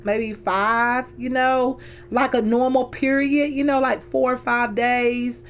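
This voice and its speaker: A hoarse middle-aged woman chatting casually to her viewers from her car, calling them y'all and repeating herself as she talks. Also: a little high, fairly even intonation, slow pace, highs cut off, slight background noise. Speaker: vlogger.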